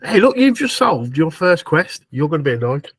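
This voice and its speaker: A man's voice: a little high, sing-song, moderate pace, husky, no room sound. A man chatting casually as a player in a tabletop roleplay game.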